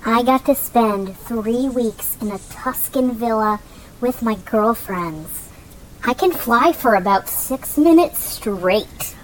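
A woman's voice: a little high, animated, slow pace, a little hiss or hum, poor audio. A woman playing a proud, boastful customer giving a testimonial in a radio comedy sketch.

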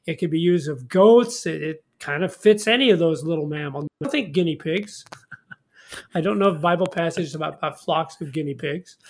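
A man's voice: a little high, moderate pace, darkish tone, a little hiss or hum. A man leading a conversational Bible study in a folksy, informal way, often putting leading questions to his partner. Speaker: host of a Bible study discussion.

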